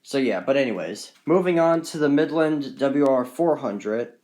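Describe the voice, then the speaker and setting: A man with a medium-pitched voice gives an informal show-and-tell of his collection. He rambles and repeats himself as he goes, keen on the small details. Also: expressive, moderate pace, a little mellow. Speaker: hobbyist collector presenting radios on video. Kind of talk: casual unscripted show-and-tell vlog.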